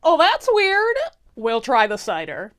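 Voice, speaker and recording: A spoiled, vindictive duchess performed by a woman storyteller in a comic fairy tale. She is brash, whiny and demanding, with high, theatrical swoops in her delivery. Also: wildly swooping pitch, slow, a bit bright, full character voice. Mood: sly showing off.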